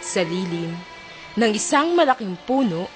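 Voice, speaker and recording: A woman's voice: mid-range voice, big pitch swings, unhurried, poor audio. A young Filipino woman reading scripted narration for an amateur radio drama. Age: young adult. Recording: loud music under the voice.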